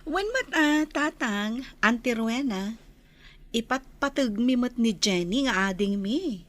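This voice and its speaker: A woman's voice: a little high, expressive, unhurried, fairly bright tone. A woman playing a polite, affectionate young daughter in an Ilocano radio drama, with an Ilocano accent.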